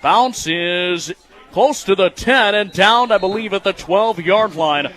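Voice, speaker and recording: A young American man calls football live on the radio. His voice is high and bright, with an excitable, forceful delivery that pushes up for the action. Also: slow. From United States, Michigan. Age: 20s. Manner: excitable, raising his voice on plays. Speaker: radio play-by-play announcer.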